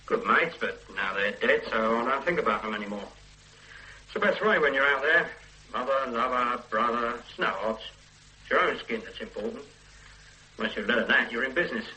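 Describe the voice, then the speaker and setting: An actor playing a blunt, unsentimental ex-soldier son in a radio drama, offhand and dismissive. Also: male voice, medium pitch, moderate pace, pushed and bright, rough recording. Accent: Northern English. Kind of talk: 1970s radio drama acting.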